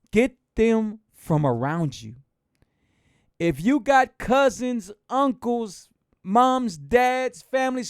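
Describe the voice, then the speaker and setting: Man, late 40s, livestream motivator: loose, repetitive, pep-talk energy, slightly high voice, dry close sound. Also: gravelly, no room sound. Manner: rambling, repetitive, animated and encouraging. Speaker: motivational speaker.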